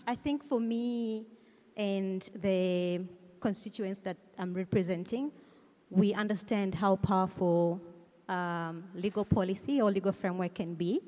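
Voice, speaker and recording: A woman lawyer and executive director speaking at a panel, quick with fillers. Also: medium pitch, darkish tone. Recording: reverberant hall.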